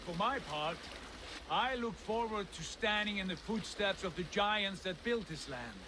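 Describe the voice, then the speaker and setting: A man's voice: high-pitched, animated, unhurried, noisy background. A man playing a proud, commanding Viking jarl in a video game, solemn and declamatory. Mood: reflective, grand. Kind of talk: video game cutscene acting.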